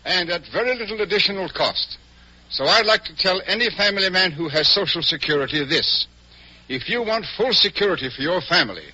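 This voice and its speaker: A man's voice: high-pitched, sharp tone, full character voice. An American man playing an earnest family man giving a scripted testimonial in a 1940s radio ad. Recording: dull, muffled vintage radio recording.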